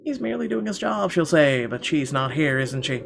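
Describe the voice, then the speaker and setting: A man's voice: a little high, expressive, normal speed, vocal fry, noisy background. A man reading visual novel narration aloud in a theatrical way, now and then stumbling over words. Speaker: gaming video host. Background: game music.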